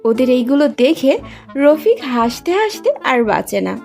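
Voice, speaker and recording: Creaky high-voiced woman narrating a story. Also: very animated, a little slow, a little mellow. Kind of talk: scripted fan fiction story narration. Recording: music behind the voice.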